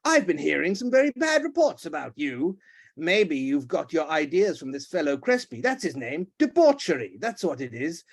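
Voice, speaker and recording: A man puts on a pompous old duke in a storybook reading, shouting and blustering with a voice that leaps high and swings wildly. The tirade is loud and theatrical, full of indignation. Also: very animated, moderate pace. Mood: furious.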